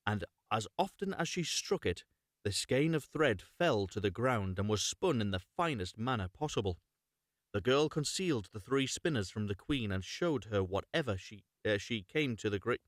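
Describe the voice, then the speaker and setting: A man reading a storybook aloud live, expressive, with chatty asides and self-corrections. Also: medium pitch, dry recording, clean studio sound. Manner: stumbles and corrects himself, expressive. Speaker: reader of fairy tales, host.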